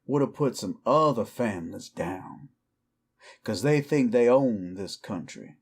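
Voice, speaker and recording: An actor playing a weary, defiant cowboy outlaw werewolf in an audio roleplay, with a folksy, brooding American Southern or Western drawl. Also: male voice, mid-range voice, animated, a little slow, gravelly. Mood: bitter, defiant. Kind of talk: slow dramatic roleplay monologue to the listener.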